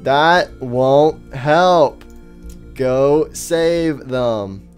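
Plodding, blunt animatronic, played by a man, very slow word-by-word delivery, long gaps, comedy skit. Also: medium pitch, soft background music. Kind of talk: amateur comedy skit voice acting.

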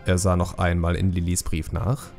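A man's voice: low voice, completely flat delivery, normal speed, gravelly, a little mellow, soft background music. A man narrating a fantasy audiobook in a scripted, dramatic storytelling style.

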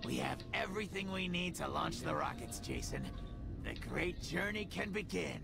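A man playing a terse, serious rocket technician in a video game, with a gravelly voice and a formal, matter-of-fact manner. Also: high voice, lively intonation, normal speed, over music, exaggerated voice.

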